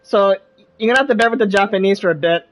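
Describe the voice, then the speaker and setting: A man's voice: high-pitched, fast, a bit bright, rough recording. A man giving casual live commentary while streaming a video game. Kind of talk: casual live stream commentary, reading some dialogue aloud. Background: video game music.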